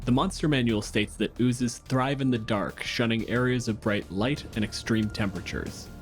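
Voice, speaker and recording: A man's voice: medium pitch, normal speed, soft background music. A man from Canada co-hosting a podcast, moving between scripted reading and casual chat. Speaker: podcast co-host.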